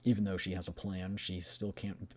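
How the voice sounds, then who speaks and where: Game streamer: low voice, story narration plus casual asides. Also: little pitch movement, normal speed, a little mellow, slight background noise.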